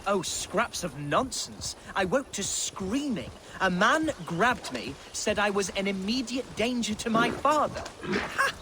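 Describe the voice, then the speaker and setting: A man's voice: sits high, lively intonation, measured pace, noisy background. A pompous young Saxon nobleman. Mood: musing. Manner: pompous, theatrical, florid speech. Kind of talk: video game cutscene acting. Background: orchestral game music.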